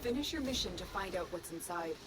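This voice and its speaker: A woman voicing a calm female mission handler in a video game, giving composed, measured instructions. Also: lowish voice, close to an everyday voice. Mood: steady. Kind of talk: scripted video game dialogue. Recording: game audio under loud noise.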